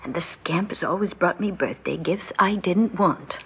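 An actress playing a wistful, lonely mother in her seventies in an old radio drama, speaking in a slow, breathy murmur. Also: on the low side. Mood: fond, reflective. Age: 70s. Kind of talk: 1960s radio drama acting. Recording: dull, noisy old radio recording.

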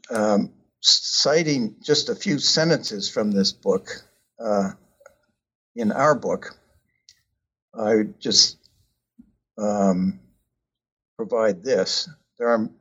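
A man talking about his book in a casual, hesitant way, with many ums and uhs and frequent pauses. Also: mid-range voice, breathy edge. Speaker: author discussing his book. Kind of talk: casual conversational talk. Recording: dull lo-fi sound.

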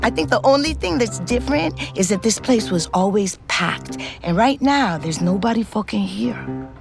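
A woman's voice: mid-range voice, big pitch swings, moderate pace, gravelly, music in the background. An actress playing a foul-mouthed, middle-aged ex-convict, telling her story in a confiding, conversational way. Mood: wry, confiding. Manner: streetwise, blunt, confiding. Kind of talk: scripted first-person narration, conversational.

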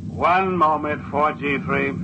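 A man playing a brisk, officious space traffic control operator, clipped and procedural, in 1950s radio drama style. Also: a little high. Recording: dull old radio, clear engine noise.

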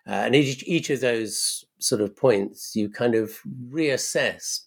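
Gravelly, hesitant man, a Swahili speaker. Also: mid-range voice, slow pace. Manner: hesitant, many fillers and restarts. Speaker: academic guest. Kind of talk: thoughtful interview answers.

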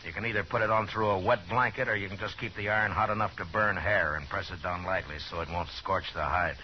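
An actor playing a steady, shrewd frontier lawman in a 1950s radio Western, measured and matter-of-fact. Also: low voice, slight background noise, lightly acted. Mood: explaining. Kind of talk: 1950s radio drama acting with narration. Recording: dull vintage 1950s radio recording with music.

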